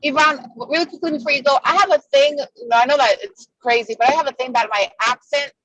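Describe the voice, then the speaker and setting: A woman's voice: sits high, fast talker, background noise. A woman with a Spanish accent, native Spanish speaker, asking questions hesitantly with many restarts.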